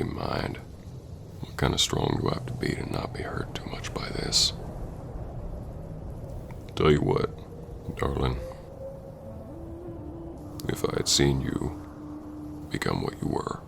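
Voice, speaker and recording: A man playing a stern, hurt Old West bounty hunter confronting an old flame in an ASMR roleplay, brooding and halting yet tender. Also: slight background noise, heavily acted. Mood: pained, resigned. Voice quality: very deep, gravelly drawling voice. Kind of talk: ASMR roleplay acting, spoken to the listener.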